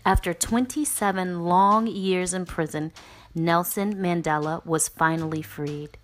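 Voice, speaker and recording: A woman narrating a children's book as a read-aloud, in an expressive, deliberate way. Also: fairly low pitch, low-quality recording.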